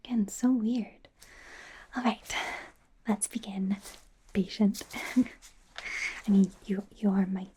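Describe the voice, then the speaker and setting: A woman's voice: medium pitch, steady tone, slow pace, pauses often, a little hiss or hum, lightly acted. A woman playing a nervous, friendly female werewolf doctor in a whispered ASMR roleplay, hesitant, stammering and apologetic. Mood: friendly, awkward, then nervous, flustered. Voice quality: soft, breathy whisper.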